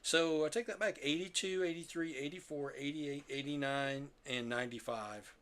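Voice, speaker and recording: A man's voice: a little high, normal speed, a bit bright. An older man hosting a podcast, chatting casually through his show notes in a rambling, jokey, nerdy explainer way. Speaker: podcast host.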